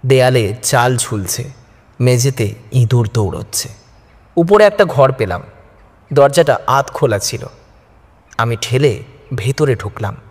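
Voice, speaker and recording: A man's voice: medium pitch, animated, a little slow, pauses often. A man narrating a first-person horror story in a suspenseful, theatrical style. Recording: music behind the voice. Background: background music.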